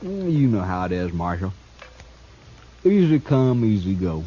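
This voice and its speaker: A man's voice: low voice, sing-song, mellow, breathy edge, exaggerated voice. A man acting a cocky, sly jailed outlaw in a western radio drama, talking in a folksy, mocking way. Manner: folksy, mocking drawn-out delivery. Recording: dull, noisy old radio recording.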